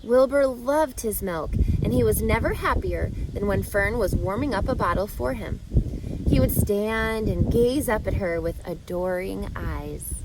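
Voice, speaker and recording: Female storybook reader, animated intonation, deliberate emphasis, noticeable background noise. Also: on the high side. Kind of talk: expressive children's read-aloud narration.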